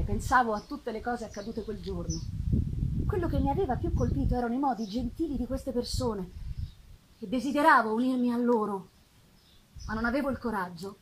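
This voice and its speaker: A woman giving an expressive, dramatic literary reading with pauses and drawn-out phrases, performed live on stage. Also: on the high side, noisy background. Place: live theatre festival performance. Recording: live music behind the voice. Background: live musical accompaniment.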